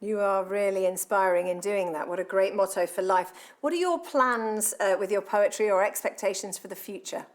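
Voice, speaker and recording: A woman's voice: mid-range voice, normal speed, few pauses. A woman hosting an online awards ceremony, reading her script with an upbeat tone. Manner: upbeat, reads out messages.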